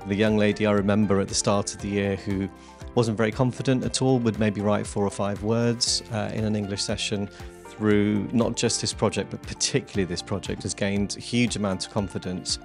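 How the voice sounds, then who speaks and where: Teacher, man, 40s, earnest interview voice, low-pitched, music underneath. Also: fairly even intonation, brisk, gravelly. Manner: earnest, with many fillers. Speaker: school teacher. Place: classroom. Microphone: handheld mic. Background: soft guitar music.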